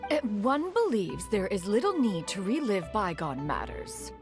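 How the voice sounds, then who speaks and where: With music behind, a dignified immortal crane spirit in human form. The voice is creaky and expressive, with lofty, formal, haughty phrasing suited to a fantasy game. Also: female voice, medium pitch, moderate pace. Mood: flustered. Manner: formal, lofty, refers to self as one. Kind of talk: video game voice acting.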